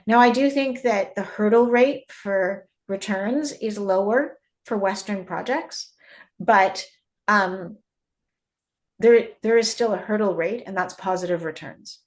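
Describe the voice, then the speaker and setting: Canadian-born woman, halting explanatory talk. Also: mid-range voice. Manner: frequent restarts and repeated words, thinking aloud. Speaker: guest, mining industry expert. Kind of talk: conversational interview.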